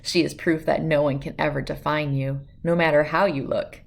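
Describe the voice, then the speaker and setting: A woman's voice: deep voice, steady tone, moderate pace, vocal fry, pitched below the performer's own voice. A woman voicing an admiring, frank male painter in a storybook, giving approving appraisals.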